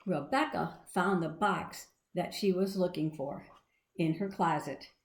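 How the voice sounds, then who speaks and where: A woman's voice: lowish voice, unhurried. A woman reading a storybook aloud to children, expressively, with chatty asides and questions to young listeners. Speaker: storybook reader. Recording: echoey room.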